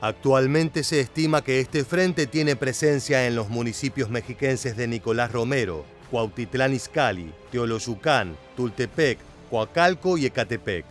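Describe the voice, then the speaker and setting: A man giving scripted voice-over narration at a steady, measured pace. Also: mid-range voice, soft background music. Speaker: documentary narrator.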